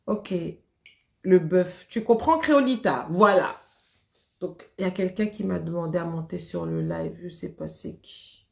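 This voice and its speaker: A woman's voice: on the low side, a little slow, vocal fry, a little mellow, echoey room. A woman hosting a live stream, chatting casually with viewers, laughing often and greeting them by name.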